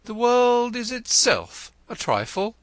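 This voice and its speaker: A man's voice: very high-pitched, big pitch swings, slow pace, no room sound, pitched above the performer's own voice, exaggerated voice. A man voicing a vain, theatrical male poet in an audiobook, florid and gushing, stammering when pressed. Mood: effusive. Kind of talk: audiobook character reading.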